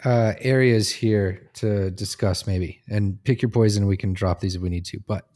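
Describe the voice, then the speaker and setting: A man's voice: lowish voice, fairly even intonation, moderate pace, dark tone. A man hosting a podcast in a casual, conversational style. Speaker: podcast host.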